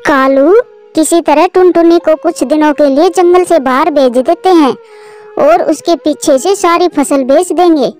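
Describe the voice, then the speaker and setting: Lady doing a sneaky lazy cartoon bird wife, really high voice, music under it. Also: a little slow, darkish tone. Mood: scheming. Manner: self-indulgent, conniving. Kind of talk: Hindi children's cartoon voice acting.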